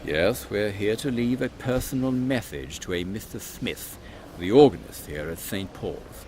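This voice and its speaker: A man playing a pompous British army captain in a comic Victorian audio drama, gentlemanly and blustering. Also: lowish voice, lively intonation, measured pace, noisy background. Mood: formal, courteous.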